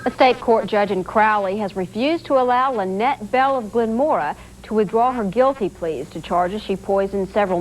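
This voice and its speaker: A woman's voice: medium pitch, poor audio. A woman anchoring a television newscast, reading from a script, on an old 1980s broadcast tape. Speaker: TV news anchor.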